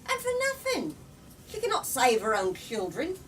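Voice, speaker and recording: Woman putting on scornful, higher-voiced muttering villagers. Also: wildly swooping pitch, moderate pace. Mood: bitter muttering. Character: muttering, accusing villagers gossiping over a tragedy. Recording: distant mic, noisy room.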